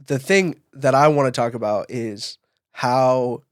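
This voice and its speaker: A man's voice: medium pitch, a little slow, clear, resonant tone, no echo, clean studio sound. A man co-hosting a podcast, telling stories in a casual, informal way and acting out bits of conversation. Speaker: podcast co-host, event photographer. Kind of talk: casual podcast chat, storytelling.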